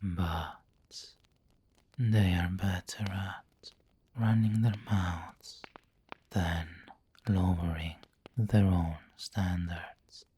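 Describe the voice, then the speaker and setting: An aristocratic vampire lord, acted by a man, murmurs in a low, husky whisper for an ASMR roleplay. He is very slow and deliberate, with formal, snobbish refinement and a possessive, doting tenderness. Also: fairly even intonation, warm tone, full character voice. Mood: haughty, musing. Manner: slow, deliberate, formal, pausing mid-phrase. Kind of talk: ASMR roleplay, intimate scripted monologue.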